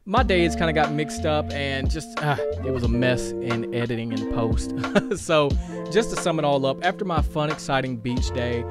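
A man's voice: mid-range voice, normal speed, over loud music. An upbeat young man from talks straight to his camera, his easy and loose. He chats about his day and keeps urging his viewers to go out and travel. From Kentucky. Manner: upbeat, chatty, encouraging. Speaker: vlogger. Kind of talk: casual vlog talk to camera. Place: outdoors in sunny street and at beach. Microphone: handheld camera at arm's length.

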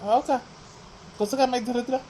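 Man acting cartoon husband, high-pitched, cautioning, gently bossy, clear noise behind. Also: fairly even intonation, fairly quick. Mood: puzzled. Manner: advising, cautioning. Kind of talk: cartoon family comedy dubbing.

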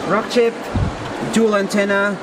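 Guy with a high voice firing short clipped interview questions, super noisy trade show. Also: flat delivery, a little slow. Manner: brief, clipped, probing questions. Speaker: video interviewer behind the camera. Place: indoor trade show booth. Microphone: handheld camera microphone.

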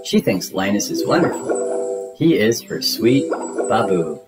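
A man narrating a children's storybook in an expressive, deliberate storytelling manner. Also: on the low side. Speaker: read-aloud narrator. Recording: music behind the voice. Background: music.

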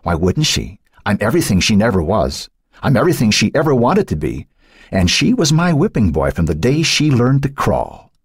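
A man reading the part of a cold, sly woman who is a hidden second personality, speaking in a husky voice. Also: on the low side, huge pitch swings, normal speed, no room sound, studio quality, pitched above the performer's own voice, heavily acted. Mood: taunting. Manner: taunting, sly, icy.

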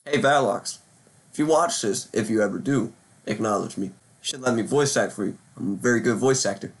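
A man's voice: mid-range voice, fairly quick. A man hosting a reaction video with casual, slangy commentary, joking and swearing freely. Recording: faint music behind the voice. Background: soft background music.

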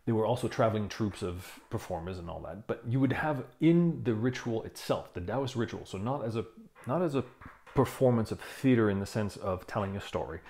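A man in his fifties giving a casual explanation to camera, thoughtful and halting, stressing key words. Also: on the low side, lively intonation, gravelly, darkish tone. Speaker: tai chi teacher reviewing a book. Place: small room indoors. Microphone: lapel mic.

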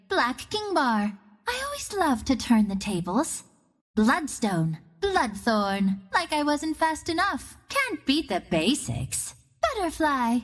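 Sassy young archer girl from a video game, high voice, super swoopy and playful, takes her time. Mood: confident, playful. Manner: breezy, sassy, theatrical delivery. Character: cocky, playful young woman archer hero of the wind. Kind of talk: video game hero voice lines.

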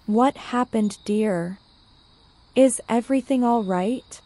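Woman playing a gentle, higher-voiced mother. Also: slow pace, no echo. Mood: reassuring. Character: gentle, reassuring young mother. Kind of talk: children's story voice acting.

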